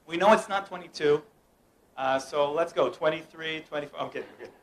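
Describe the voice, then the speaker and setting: Young guy doing a mind-reading bit, teasing the crowd, slightly high voice, bit of room hum. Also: a little fast, poor audio. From United States. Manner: playful, teasing, directs audience. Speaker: mentalist performer. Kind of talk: live stage mind-reading act. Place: room at a home gathering.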